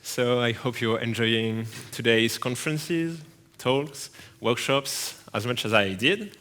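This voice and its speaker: A man with a French accent giving a technical talk at a conference, halting often with fillers and restarts. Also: medium pitch, a bit bright. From France. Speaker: conference speaker. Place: conference hall.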